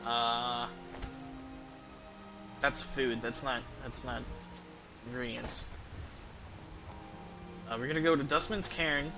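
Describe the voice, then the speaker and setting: A man's voice: medium pitch, fairly even intonation, slow pace, long pauses, over music. A man giving casual, rambling gameplay commentary as a game streamer, with some swearing.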